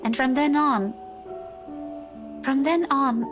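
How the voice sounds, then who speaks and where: A high-voiced young woman in a visual novel drama, earnest and halting. She speaks slowly and sincerely, with music playing underneath. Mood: reflective. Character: earnest young woman confessing love to childhood friend.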